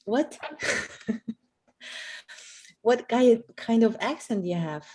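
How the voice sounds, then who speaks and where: A woman chats on a live stream in a warm, mellow voice. She speaks casually and leans on fillers like "like" and "okay". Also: a little high, extremely slow. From Poland. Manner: chatty, with many "like" and "okay" fillers.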